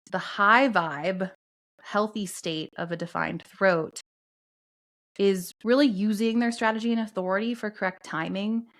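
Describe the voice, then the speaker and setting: Lower-voiced woman calmly explaining. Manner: calm, explanatory, steady teaching tone. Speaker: podcast co-host. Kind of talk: explaining astrology and human design concepts.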